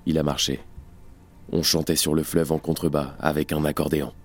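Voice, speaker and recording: An actor playing a somber, embittered revolutionary in an audio drama, speaking in a terse, grave way. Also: male voice, extremely low pitch, flat delivery, brisk. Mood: grave, sorrowful. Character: somber, embittered revolutionary man recounting an execution. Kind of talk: audio drama acting in French. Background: music.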